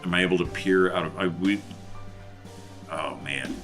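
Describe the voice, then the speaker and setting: A man with a deep but bright, forceful voice works out his game moves in casual, halting talk. Soft music sits behind him. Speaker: tabletop game player.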